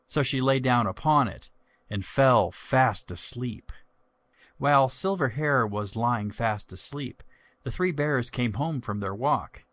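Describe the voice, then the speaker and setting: A man narrating a children's story from a script in a measured, expressive way. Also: mid-range voice, no echo.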